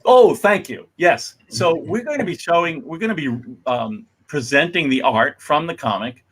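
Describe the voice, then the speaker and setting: A man hosting an event with an informal introduction, speaking in a halting, rambling way. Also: on the high side, animated, fairly bright tone, slightly rough. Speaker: host, museum executive director.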